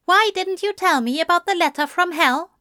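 Woman as male angel, high-pitched, fussy, earnest, polite, plaintive, storybook character. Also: moderate pace, bright, forceful tone, no echo, studio quality. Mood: earnest, gentle.

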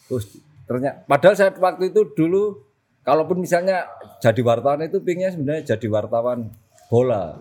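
A man's voice: fairly high pitch, fairly quick. Laid-back Surabaya-accented man. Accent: Surabaya Javanese. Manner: relaxed, joking, drops into Javanese phrases. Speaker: journalist guest. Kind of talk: casual interview chat.

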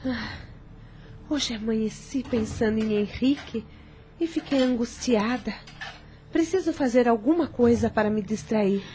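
A woman's voice: on the high side, a little slow, mellow, a little hiss or hum, close to an everyday voice. A woman playing an anguished, grieving widowed stepmother in a radio soap opera, speaking plaintively and formally. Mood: anguished, weary.

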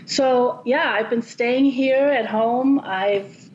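A woman's voice: a little high, slow pace. A woman from Germany, a guest on a podcast, talking casually and hesitantly with many fillers. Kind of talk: casual interview chat. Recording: slightly dull, roomy sound with faint noise.

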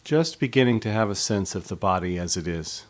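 A man leading a guided body scan meditation as an instructor, speaking in a measured, gently instructive way with pauses between phrases. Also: on the low side, lively intonation.

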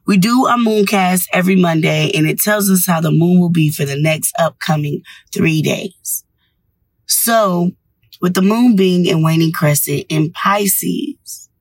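A woman's voice: on the low side, dry recording, rough recording. Dry-sounding woman hosting motivational radio. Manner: encouraging, instructive. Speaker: radio show host. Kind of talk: scripted radio monologue, motivational.

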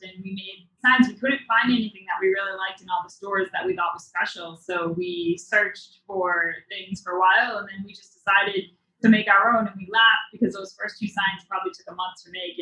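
A woman from Massachusetts in her thirties, a business co-founder and podcast guest telling her story in a lively, quick way. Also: mid-range voice, echoey room, rough recording. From Everett, Massachusetts. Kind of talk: casual interview chat.

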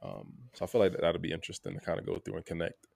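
A man co-hosting a podcast in a casual, reflective way, halting and repeating words, often checking in with "right?". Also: very animated, a little mellow, no room sound. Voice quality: gravelly low voice. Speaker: podcast co-host.

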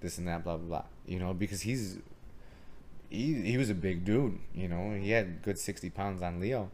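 Deep-voiced man with a strong, carrying voice. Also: normal speed, a little hiss or hum. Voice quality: strong voice that carries. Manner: animated storyteller, acts out quoted arguments. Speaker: martial arts coach and gym owner. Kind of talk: casual podcast storytelling.

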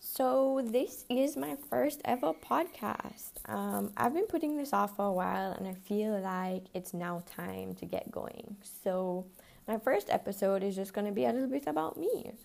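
Young woman podcasting, creaky voice. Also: medium pitch, animated, measured pace, a little mellow. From Jamaica. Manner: informal, chatty, mild swearing. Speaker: podcast host. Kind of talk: casual personal podcast monologue.

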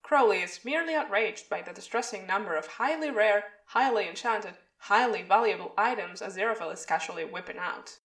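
Brisk woman narrator in an echoey room. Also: medium pitch, noisy background. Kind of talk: scripted fiction narration. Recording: roomy echo, distant from mic.

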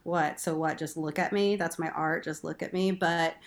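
A woman's voice: low voice, fairly even intonation, normal speed. A woman from Texas chatting candidly as an interview guest, with a playful, blunt, self-deprecating manner and casual swearing. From Texas, United States. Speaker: interview guest, burlesque performer and instructor.

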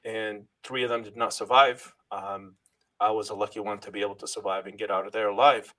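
A man's voice: on the low side, level tone, brisk, bright, forceful tone, rough recording. A middle-aged man originally from Lebanon, a guest in an interview, telling his life story earnestly and thanking God often, with frequent restarts.

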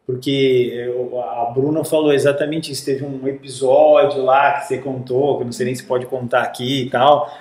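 A man's voice: medium pitch, moderate pace, few pauses, roomy sound. A man with a Brazilian accent carrying a slight Italian lilt, a guest who tells stories in a casual interview and acts out quotes as he goes. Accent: Brazilian Portuguese, slightly Italian-tinged. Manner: animated storyteller, acts out quotes.

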